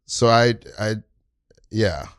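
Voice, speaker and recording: A man hosting a casual comedy panel chat, talking in a halting, excitable way and often repeating himself. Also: lowish voice, clear, resonant tone, no room sound, clean studio sound. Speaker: improv podcast host.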